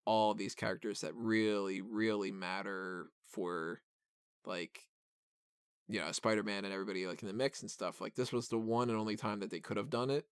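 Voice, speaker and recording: A man's voice: on the low side, clean tone, dry recording. A man hosting a casual film review podcast, talking in a rambling, self-deprecating way into a close microphone. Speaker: podcast host.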